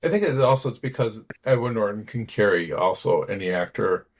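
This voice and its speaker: A man's voice: lowish voice, fairly quick. A man in a casual film review chat, thinking aloud and hedging his opinions. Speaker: podcast co-host.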